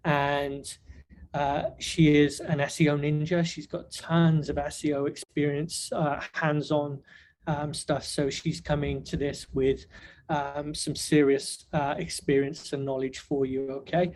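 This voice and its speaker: A hoarse man hosting a webinar over a video call, halting with many fillers. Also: a little high, little pitch movement, darkish tone. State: hoarse, nearly losing his voice. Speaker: webinar host. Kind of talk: casual webinar talk. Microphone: webcam video call.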